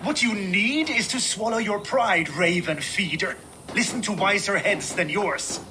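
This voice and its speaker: Hot-tempered Viking warlord, high voice, commanding, emphatic, video game drama, noisy ambience. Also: male voice, a little slow. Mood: bitter.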